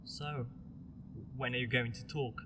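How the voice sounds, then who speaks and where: Man voicing a guilty captive, earnest and subdued, reverb, clear ambience. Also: medium pitch, fairly even intonation, measured pace, noisy background, lightly acted. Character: captured young man, guilty and protective.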